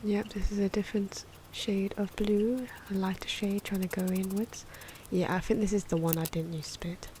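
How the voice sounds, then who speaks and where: A soft-spoken, sometimes whispery woman giving unscripted, musing ASMR commentary as she draws, correcting herself as she goes. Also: medium pitch, level tone, normal speed, hardly any pauses, a little hiss or hum. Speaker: ASMR artist narrating own drawing. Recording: clock and pen noises behind. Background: ticking clock, pen scratching.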